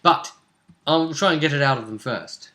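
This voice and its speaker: A man's voice: fairly high pitch, moderate pace. A man chatting casually and playfully as game master, recapping a tabletop role-playing session. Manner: playful, animated, acts out game moments.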